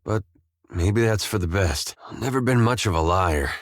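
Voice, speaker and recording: An actor playing an easygoing, wry, war-weary farmer turned adventurer in a video game, talking in a folksy, understated way with short, plain phrases. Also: male voice, lowish voice, lively intonation, normal speed, no echo, clean studio sound, natural voice. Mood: resigned, candid. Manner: folksy, laid-back, understated, drawling short clipped phrases. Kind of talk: video game companion dialogue.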